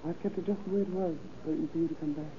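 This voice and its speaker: A woman's voice: lowish voice, fairly even intonation, very fast, dark tone, close to an everyday voice. Woman acting a crook's adoring sweetheart, tinny recording. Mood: adoring, intimate. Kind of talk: 1930s radio crime drama acting. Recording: tinny, hissy old radio recording.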